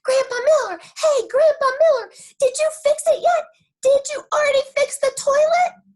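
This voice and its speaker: Woman acting a whiny, high-pitched dramatic little girl. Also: moderate pace, darkish tone. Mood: excited. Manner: childish grammar, indignant exaggeration. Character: dramatic, whiny, excitable little girl narrating her life. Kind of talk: first-person children's audiobook reading.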